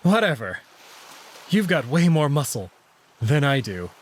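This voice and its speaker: Guy narrating a visual novel in first person, bit raspy, really expressive up and down delivery. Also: a little high, a little slow. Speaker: narrator and voice reader. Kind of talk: first-person scripted story narration with acted dialogue.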